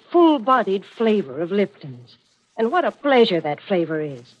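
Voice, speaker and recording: Lively high-voiced 1940s radio ad spokeswoman. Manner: polished, sales-pitch delivery. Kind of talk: 1940s scripted radio advertising. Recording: dull lo-fi 1940s radio recording.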